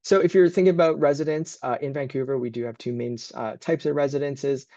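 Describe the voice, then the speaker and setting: A man's voice: mid-range voice, steady tone, fairly quick, dark tone, dry recording, highs cut off. A man working as a university admissions advisor, hosting and presenting an informal online information session.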